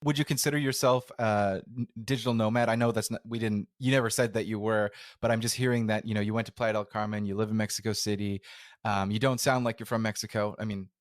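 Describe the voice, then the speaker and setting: A man's voice: fairly low pitch, steady tone, fast talker, no room sound, studio quality. A man hosting a podcast, introducing a guest and asking curious, meandering questions. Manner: curious, rambling questions with restarts. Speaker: podcast host.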